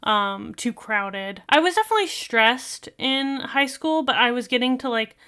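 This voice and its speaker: A woman with a bright, fairly high and very clean voice talks casually over a video she is reacting to. She rambles comfortably, leaning on filler words as she shares her thoughts. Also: animated, moderate pace, clean tone. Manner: chatty and rambling, many fillers. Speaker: video creator.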